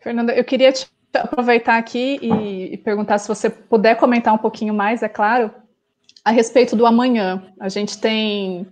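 A woman host from Brazil asks a long question over a video call in a fairly high voice. She is polite and hesitant, restarting her phrases often. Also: fairly even intonation, normal speed, a little mellow. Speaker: event host asking questions. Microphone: earphone mic on a video call.

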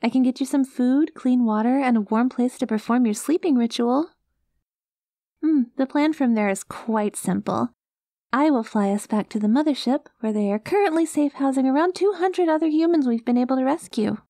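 A sweet, earnest alien girl played by a woman with a fairly high voice. She is literal and naive about humans, hesitant yet gently reassuring. It is an ASMR roleplay with a very dry, room-free sound. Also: normal speed, no echo. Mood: happy, reassuring.

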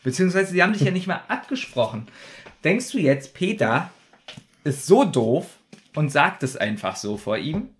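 A man's voice: on the high side, sing-song, normal speed. A man co-hosting a podcast in casual debate, insistently repeating his point. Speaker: podcast co-host.

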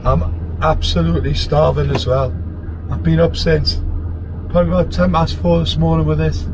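Hoarse truck driver vlogging from the cab, pitched a touch high, chatty and rambling; loud engine and road noise throughout. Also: male voice, level tone, normal speed. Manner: chatty, rambling, self-deprecating. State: hoarse, lost voice from a cough. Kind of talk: casual driving vlog. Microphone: dashcam-style camera in the cab.

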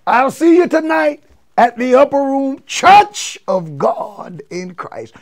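An older male bishop speaks in a high, gravelly voice, raspy and a little under the weather. He preaches emphatically from his desk, building to forceful peaks. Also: slow. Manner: emphatic, animated hand gestures. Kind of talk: invitation to a church service, preaching. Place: home office study with bookshelves. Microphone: lapel mic, seated at desk.

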